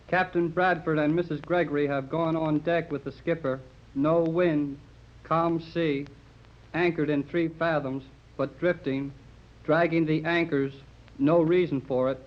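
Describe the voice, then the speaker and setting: A muffled 1930s radio recording carries an eager, curious boy played by a man. His voice sits fairly high and breaks into boyish exclamations as he works the yacht's radio. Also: fairly even intonation, unhurried, natural voice. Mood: urgent reporting. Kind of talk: 1930s radio serial acting.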